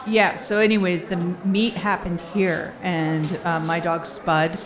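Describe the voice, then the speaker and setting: A woman's voice: lowish voice, little pitch movement, unhurried, crowd noise, lightly acted, poor audio. A woman playing a proud dog-sport hobbyist who rambles hesitantly and obliviously in an improvised comedy audio drama. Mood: proud rambling.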